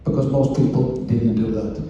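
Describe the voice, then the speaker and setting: A ship's captain, a man from Sweden with a Swedish accent, giving a slow, joking speech at a ceremony on a cruise ship. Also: mid-range voice, steady tone, mellow. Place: event room on a cruise ship. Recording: echoey room, distant mic, faint noise.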